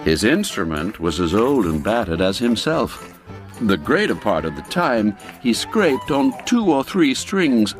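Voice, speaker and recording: A man narrating a classic literary tale as a storyteller, theatrical and expressive, savoring each phrase with deliberate care. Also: medium pitch.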